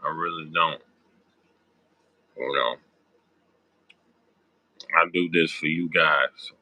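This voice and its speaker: A young man with a sick, hoarse, raspy voice, rambling through casual sports talk with lots of ums and slang. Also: fairly even intonation, takes it slowly, bright, forceful tone, no echo. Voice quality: deep raspy voice. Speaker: sports podcast host.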